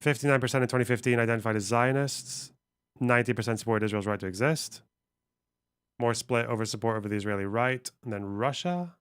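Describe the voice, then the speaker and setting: Smooth-voiced man streaming commentary. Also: medium pitch, fast, a bit bright, no echo. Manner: reads aloud and muses, swears offhandedly. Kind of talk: casual livestream commentary.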